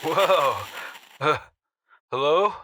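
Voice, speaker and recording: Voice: medium pitch, very slow, background noise. An actor playing a dazed, easygoing slacker phoning a radio show in an audio drama, slangy and hesitant. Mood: dazed, pleased.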